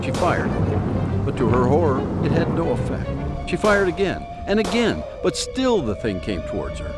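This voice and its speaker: A man narrating a scripted audio drama in a dramatic storytelling manner. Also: a little high. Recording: prominent music behind narration.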